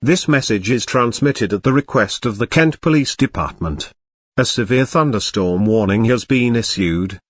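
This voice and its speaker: A man voicing a grave emergency alert announcer, reading formal, measured warnings in a scripted broadcast style. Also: mid-range voice, dry recording, studio quality. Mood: grave, instructing. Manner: formal, measured, urgent wording.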